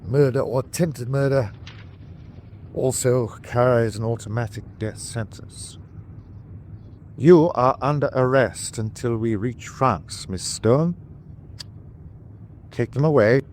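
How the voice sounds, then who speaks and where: An actor playing a weary, dutiful German submarine captain in a wartime radio drama, speaking in a formal, measured way. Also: male voice, medium pitch, a little mellow, slight background noise, close to an everyday voice. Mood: stern, formal.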